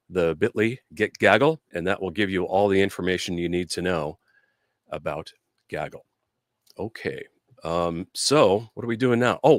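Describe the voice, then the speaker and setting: A man's voice: low-pitched, fairly bright tone, no room sound, studio quality. A man hosting a casual live product demo and interview, explaining things in an informal, conversational way. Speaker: host and product demonstrator.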